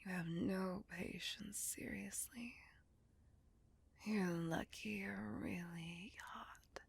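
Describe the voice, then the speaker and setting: A woman voicing a centuries-old female vampire in an intimate ASMR roleplay, possessive, doting and teasing, in a soft, breathy, coaxing whisper. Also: mid-range voice, fairly even intonation, takes it slowly, exaggerated voice. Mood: amused, teasing.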